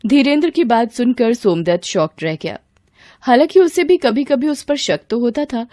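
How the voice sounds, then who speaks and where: A woman's voice: a little high, mellow, dry recording, studio quality. A woman reading a scripted story as narrator, acting out its dialogue.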